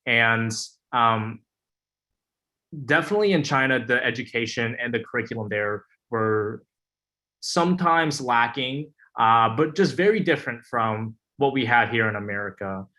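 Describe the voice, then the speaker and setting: A man's voice: mid-range voice, animated, moderate pace, bright, forceful tone. Young man, webinar panelist, earnest, hesitant fillers, video call mic. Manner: earnest, hesitant with many ums and uhs.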